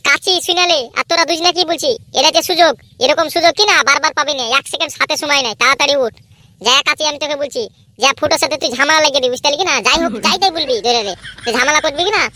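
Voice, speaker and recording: A woman playing a scheming boy ringleader in a cartoon. The voice is high and quick, bossy and impatient. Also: bright, forceful tone, no echo. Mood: scheming, urgent. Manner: impatient, commanding, boastful. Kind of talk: amateur comedy cartoon dub.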